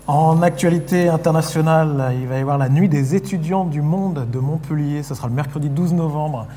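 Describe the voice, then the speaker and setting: A young man co-presenting a live student TV show, briskly moving on to the next item. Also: a little high, dark tone, slight background noise. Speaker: studio co-presenter.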